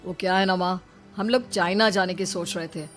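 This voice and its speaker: A woman's voice: fairly low pitch, steady tone, normal speed, soft background music, natural voice. A woman voicing a put-upon, complaining adult son and husband in a Hindi cartoon story. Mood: hesitant, complaining.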